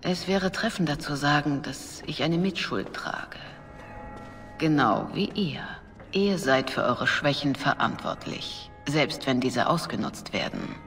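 A middle-aged priestess in a fantasy video game. She speaks with formal, measured calm and carries quiet remorse, with faint music playing behind her. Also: deep voice. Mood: firm, counselling. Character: composed, remorseful older Orlesian revered mother, a priestess. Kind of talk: dramatic video game voice acting.

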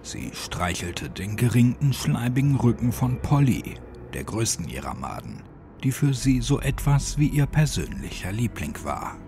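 A man narrates a scripted horror story in audiobook style. His voice sits at a medium pitch. Music runs underneath the reading. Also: sing-song, a little slow, mellow.

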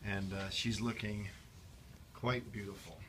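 A middle-aged man with a hoarse, fading voice, giving an enthusiastic, chatty update on a car project in his workshop, filmed on a handheld phone. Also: fairly low pitch, fairly even intonation, a little slow, very noisy. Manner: enthusiastic, chatty, addresses viewers as guys. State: losing his voice. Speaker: restoration shop owner, vlog host. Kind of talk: casual shop-floor video update. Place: indoor car restoration workshop. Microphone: handheld phone camera.

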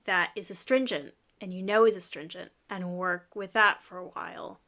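A woman's voice: lowish voice, steady tone, normal speed, sharp tone. A woman in her thirties with an American accent, a guest herbalist chatting casually on a video call from a home office, laughing often as she explains. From lives in Yorkshire, UK. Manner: chatty, laughs often, gestures while talking. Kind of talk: casual interview chat. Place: home office with framed flower print.

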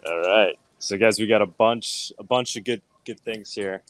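Excited man vlogging at a checkout. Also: fairly low pitch, moderate pace. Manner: excited, laughing, talking to camera. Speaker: show correspondent. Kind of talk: casual vlog interview. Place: grocery store checkout, indoors. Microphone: wired earphone mic.

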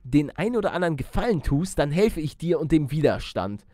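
A man acts out a polite, sentimental ship captain from a video game. The voice is a little high and dryly recorded, with courteous, plain-spoken delivery. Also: sing-song, a little fast, warm tone, no echo, studio quality. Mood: earnest, sentimental.

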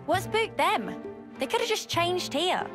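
Perky casual fighter in anime game, high n really lively. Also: female voice, takes it slowly, music in the background, exaggerated voice. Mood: casual, curious. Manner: breezy, informal. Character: perky, casual, inquisitive young female fighter. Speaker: video game character. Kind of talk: anime-style game cutscene acting.

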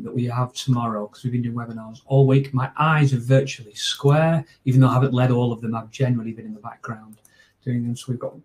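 A man's voice: mid-range voice, low-quality recording. A man in his forties from the north of England, with a Northern English accent, wrapping up a training webinar at home over a video call in a chatty, informal way with lots of fillers and restarts. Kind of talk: closing remarks of a training webinar. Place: small room at home. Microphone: video call webcam.

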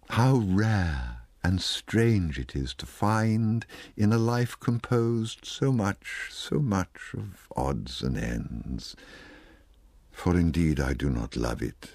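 A man plays a wistful, ageing society lady in a poetry reading. The voice is very deep and slow, halting and repeating itself with plaintive yearning. The recording is dry. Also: a little mellow, dry recording, exaggerated voice. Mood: effusive, wistful.

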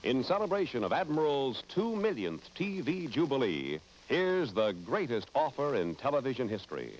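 A man's voice: mid-range voice, very animated, moderate pace, a little mellow, a little hiss or hum. An American man announcing in 1950s broadcast advertising style, with polished, emphatic salesmanship. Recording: dull vintage broadcast recording.

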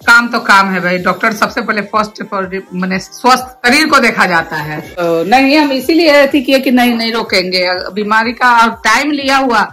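A woman's voice: a little high, moderate pace, few pauses, sharp tone, low-quality recording. A retired woman in her sixties with a chatty manner, vlogging casually to viewers about family life and an upcoming trip, recorded on a handheld phone. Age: 60s. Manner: chatty, talks to viewers and the grandchild. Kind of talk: casual family vlog narration. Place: home and shopping mall, indoors. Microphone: phone held at arm's length.

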